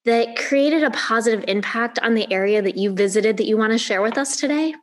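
Host: middle-aged woman, chatty and upbeat, a bit higher voice. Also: a little fast. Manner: chatty, enthusiastic, affirming. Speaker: podcast host. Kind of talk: interview conversation.

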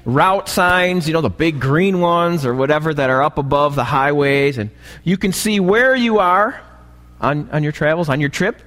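A male pastor with an American accent and a slightly raspy voice, preaching a sermon and often checking in with short questions to the congregation. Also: a little high, normal speed, fairly bright tone. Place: church, preaching live. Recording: live church recording, long hall reverb.

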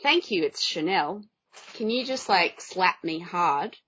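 A woman co-hosting a podcast, animated and self-mocking. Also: fairly low pitch, slow, slightly rough, highs cut off. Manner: animated, self-mocking, breaks into silly voices. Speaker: podcast co-host. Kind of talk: casual chat.

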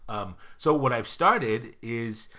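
A man's voice: mid-range voice, expressive, slow pace, pushed and bright. A man in his fifties, co-hosting a casual chat, joking and rambling with fillers and frequent laughs. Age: 50s. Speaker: podcast co-host.

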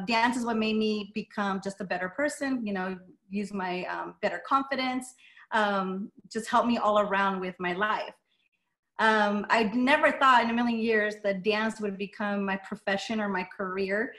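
A woman's voice: mid-range voice, fairly bright tone. Woman guest speaker, middle-aged, first language Spanish, casual, hesitant storytelling. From Las Vegas, USA. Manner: casual, many ums, light laughs. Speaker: guest speaker, dance studio owner. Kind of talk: personal story talk.

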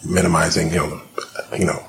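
A man from Houston, Texas, a physician guest talking fast with many fillers in a podcast interview. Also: very deep voice, little pitch movement, vocal fry, background noise. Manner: rapid, many fillers and restarts.

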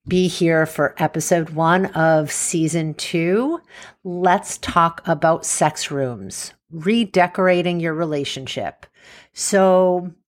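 A woman with a soothing voice hosting a solo podcast, talking informally and explaining things in an unhurried, conversational way. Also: on the low side.

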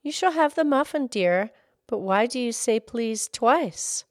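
A woman voicing an affectionate, gently amused mother. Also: on the high side, lively intonation, unhurried, dry recording, clean studio sound, voice pushed up. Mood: fond.

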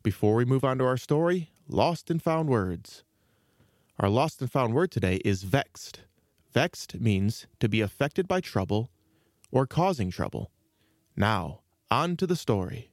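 A man hosting a children's story podcast, reading a scripted introduction in a measured, presentational way. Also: medium pitch, very animated, dry recording, studio quality. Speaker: podcast host.

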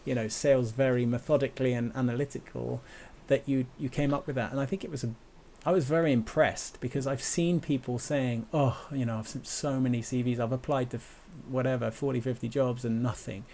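Guy with a gravelly voice hosting a podcast, keeps stumbling and restarting words, laid-back chat. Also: mid-range voice, steady tone, dark tone, slight background noise. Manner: halting, many repeated words and restarts. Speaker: podcast host. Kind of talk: casual conversation.

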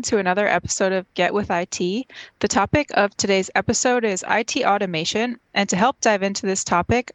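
A woman's voice: medium pitch, dry recording. A woman hosting an interview podcast, introducing her guests and asking long, casual questions with plenty of fillers. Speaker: podcast host, news editor.

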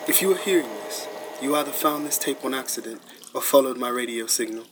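A man playing a grave professor leaving recorded warnings in a sci-fi radio drama, lecturing in a measured, ominous way. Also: medium pitch, noisy background, close to an everyday voice. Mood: somber recounting. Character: grave male scientist professor leaving recorded survival instructions.

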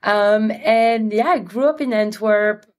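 A woman with a Belgian accent, a guest in an interview, talking in a friendly storytelling way with frequent pauses. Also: medium pitch. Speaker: guest, company CEO. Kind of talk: interview conversation.

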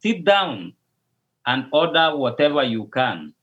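Kenyan man, pastor, measured unhurried delivery, muffled call audio. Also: medium pitch, sing-song, fairly bright tone. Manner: slow, measured, with hesitations and restarts. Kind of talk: casual video call interview.

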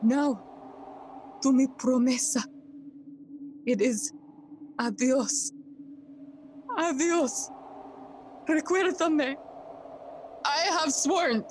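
A grieving young prince in a Shakespearean audio drama. The voice is high and very deliberate, with impassioned theatrical phrasing. Faint ambient noise sits behind it. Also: female voice, a little mellow. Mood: resolute. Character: grieving, feverish young prince sworn to vengeance.

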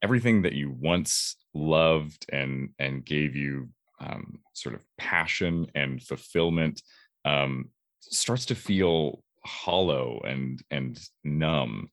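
Male interviewee, extremely low pitch, measured and halting, unhurried, reflective voice actor. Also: fairly bright tone, no room sound, clean studio sound.

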